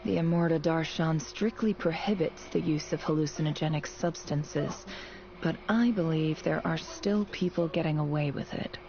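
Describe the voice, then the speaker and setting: Game casting: a wry, matter-of-fact young female student, guarded, lowish pitch with noticeable vocal fry. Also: normal speed, highs cut off, background noise. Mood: reading aloud. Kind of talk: video game voice acting.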